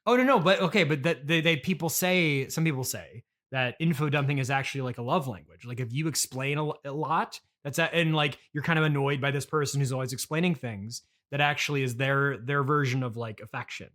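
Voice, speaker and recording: A man in an improvised comedy chat, playing himself as interviewer, halting, hesitant and awkwardly polite, often repeating himself. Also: mid-range voice, fairly bright tone.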